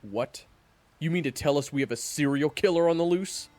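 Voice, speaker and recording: A man playing a gruff, impatient hired hunter in a fantasy audio drama, brusque and sardonic. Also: fairly high pitch, moderate pace, a little mellow, lightly acted. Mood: loud, demanding. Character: gruff, impatient, blunt hired killer-hunter named Raven. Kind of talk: fantasy audio roleplay acting.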